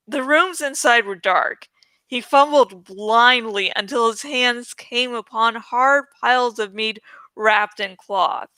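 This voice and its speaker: A woman's voice: on the high side, bright, forceful tone, no room sound. A woman reading a fantasy novel aloud as an amateur audiobook narrator, casual and given to jokey, self-teasing asides.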